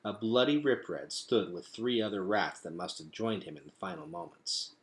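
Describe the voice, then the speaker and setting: A man's voice: on the low side, animated, normal speed. A man reading a storybook aloud as a storyteller, with dramatic, emphatic phrasing.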